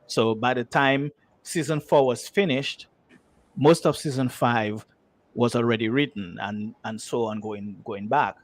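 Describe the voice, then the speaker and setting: A man's voice: medium pitch, measured pace. Man telling anecdotes in an interview. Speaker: radio drama writer, interview guest. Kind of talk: conversational interview talk.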